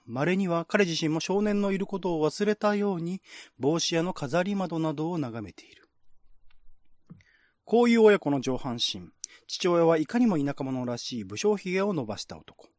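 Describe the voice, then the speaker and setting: A man with a fairly high, very lively voice hosts and narrates a radio drama program. The sound is dry and close. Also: normal speed, dry recording. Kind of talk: casual hosting and dramatic script reading.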